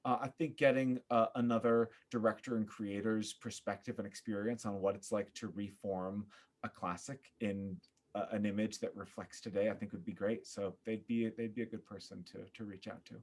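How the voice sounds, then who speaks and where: A man speaking as a podcast guest in a casual interview, earnest and effusive, often pausing to think. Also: on the low side, steady tone, a little mellow. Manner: earnest, effusive, halting with thoughtful pauses. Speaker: podcast guest, theater performer.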